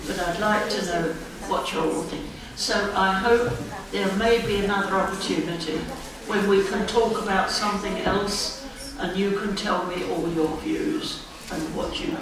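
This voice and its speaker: A woman speaking slowly and graciously to schoolchildren as a guest speaker. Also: mid-range voice, a crowd in the background. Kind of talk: talk and Q&A with schoolchildren. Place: school hall. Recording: echoey hall from far off, background noise.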